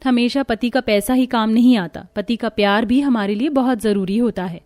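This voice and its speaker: A woman's voice: on the high side, normal speed, a little mellow, soft background music, lightly acted. A woman voicing a poor, dutiful young daughter-in-law in a cartoon story, earnest and plaintive.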